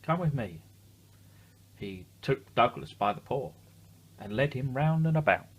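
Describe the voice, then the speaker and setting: A man's voice: medium pitch, animated, moderate pace, slight background noise. A man reading a picture book aloud to children expressively, adding simple chatty asides and questions for the young listeners. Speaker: children's story reader.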